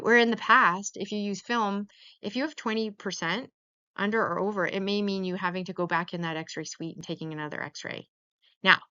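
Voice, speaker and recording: A slightly muffled, lo-fi recording carries a woman giving an informal lecture. Her pitch sits in the middle range for a woman. She explains things conversationally, often checking in with short questions to her students. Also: fairly bright tone, clear, resonant tone. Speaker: lecturer teaching veterinary radiography. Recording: dull, lo-fi voice-over recording.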